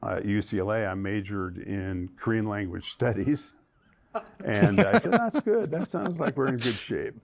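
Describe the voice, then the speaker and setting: A man's voice: on the low side, lively intonation, moderate pace, vocal fry. A man, a lawyer, telling an anecdote in a documentary interview, quoting himself and others.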